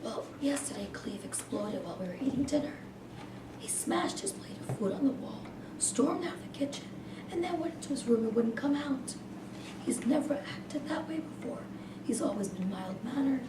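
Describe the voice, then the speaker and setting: A woman's voice: high voice, expressive, normal speed. A woman playing a worried, protective mother in a staged reading, earnest and concerned. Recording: echoey room, distant mic, noisy background.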